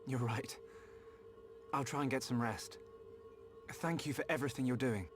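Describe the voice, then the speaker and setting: A man's voice: mid-range voice, normal speed, soft background music, lightly acted. An actor playing an earnest, polite and determined young male hero in a video game cutscene, over noise. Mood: polite, subdued.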